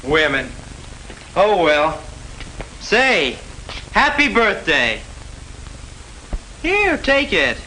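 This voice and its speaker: An actor playing a breezy, good-natured high school boy chatting casually in old-fashioned slang in an educational film. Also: wildly swooping pitch, drawn-out words, pauses often, pushed and bright, full character voice. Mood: breezy cheer. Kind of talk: 1950s educational film acting. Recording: noisy 1950s film soundtrack.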